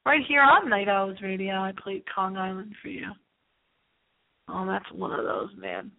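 A woman hosting a live radio show in a rambling, casual, playful way, with theatrical drawn-out asides. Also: medium pitch, gravelly. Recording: thin, phone-like internet broadcast audio.

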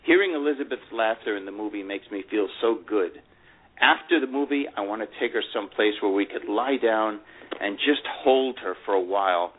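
An actor reading a memoir as a wistful, proud older divorced man, reflective and halting with emphatic openings, in a dramatic first-person style. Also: medium pitch, lightly acted. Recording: dull, lo-fi radio-like sound.

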